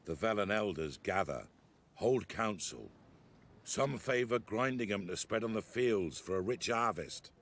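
A man's voice: fairly low pitch, animated, a little slow, a bit bright, heavily acted. A man playing a solemn, devout peasant elder in a fantasy game, speaking in archaic rustic phrases. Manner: archaic, reverent rustic speech. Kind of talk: fantasy video game acting.

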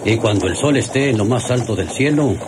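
A man's voice: mid-range voice, animated, a little fast, lightly acted. A man playing a greedy, bossy, exasperated treasure hunter with a drawling rustic manner and a Mexican accent in a radio drama. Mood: ambitious, bossy. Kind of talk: radionovela acting. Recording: noisy old radio serial recording.

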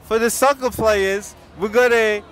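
Playful high-voiced man interviewing on the street. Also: steady tone, unhurried, a crowd in the background, poor audio. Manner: playful, repeats himself. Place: busy city street at night.

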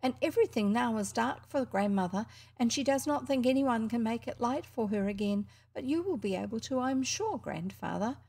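A woman's voice: fairly high pitch, lively intonation, fairly quick, a little mellow, pitched above the performer's own voice. A woman voicing an eager, trusting little girl who pleads earnestly. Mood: pleading.

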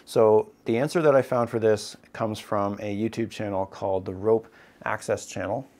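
A man's voice: lowish voice, fairly even intonation, normal speed, darkish tone. A man teaching a climbing rope technique, explaining step by step and checking in with "right?" as he goes. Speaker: climbing instructor. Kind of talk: tabletop demonstration lesson.

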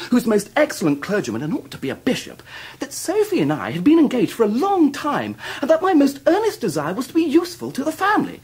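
An actor playing an effusive, good-hearted young married man in a radio drama, with a husky voice. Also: high voice, heavily acted. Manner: effusive, exclamatory.

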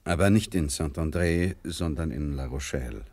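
An actor playing a calm, authoritative middle-aged police commissioner in a radio crime play, terse and probing. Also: male voice, extremely low pitch, normal speed. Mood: quiet, reassuring. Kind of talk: German radio play acting.

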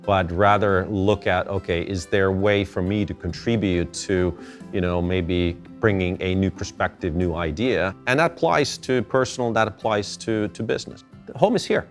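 A middle-aged man from Finland, answering interview questions thoughtfully, with halting restarts. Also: low-pitched, soft background music. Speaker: interview guest. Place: indoor gallery space.

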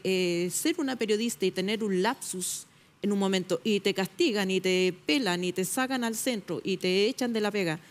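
A middle-aged woman journalist arguing her point on a television panel debate, emphatic and pausing often with fillers, recorded on a lapel mic in a studio. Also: mid-range voice. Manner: emphatic, searching for words with many fillers.

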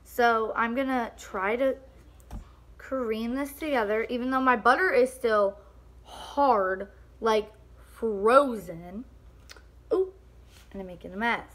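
A woman's voice: on the high side, takes it slowly, pauses often, fairly bright tone. A young woman rambling casually and mocking herself while baking in her home kitchen. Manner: chatty, self-mocking, rambling. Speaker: vlogger. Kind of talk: casual vlog narration.

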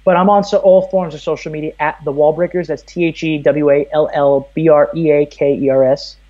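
A man's voice: fairly high pitch, steady tone, fairly quick, dark tone, lo-fi sound. A man in his thirties from Brooklyn with an American accent, speaking earnestly and emphatically as a podcast guest in a rambling, opinionated way. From Brooklyn, New York, USA. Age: 30s. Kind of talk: conversational interview, opinionated.

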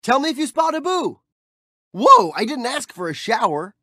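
An actor playing a cheerful, street-smart young hero who became a prince, speaking friendly, playful video game lines. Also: male voice, very high voice, very animated, normal speed, bright, forceful tone, dry recording, studio quality, exaggerated voice. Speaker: game character voice clips.

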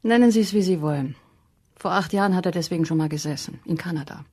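An actress playing a curt, weary, reluctant witness in a German crime radio play. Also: female voice, lowish voice, fast, a little mellow, breathy edge. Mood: curt, irritated. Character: curt, weary, reluctant sister of a murdered man.

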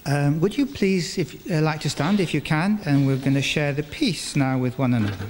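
Older service leader, echoey church. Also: male voice, on the high side, moderate pace, darkish tone, a little hiss or hum. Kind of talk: leading a church service, giving directions. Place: inside a parish church. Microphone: microphone on a stand. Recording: echoey church, long reverb.

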